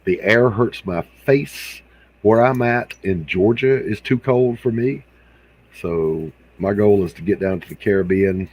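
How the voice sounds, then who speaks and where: A man's voice: fairly low pitch, measured pace, darkish tone, husky, low-quality recording. A man from South Carolina with an American accent, chatting casually in a wry, joking way. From Hilton Head Island, South Carolina. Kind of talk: casual chat.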